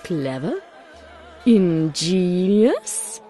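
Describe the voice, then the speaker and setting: A woman's voice: fairly low pitch, big pitch swings, extremely slow, warm tone, heavily acted. Woman doing a stately pony night queen, formal and burdened, audio drama, bit of music behind. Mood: proud.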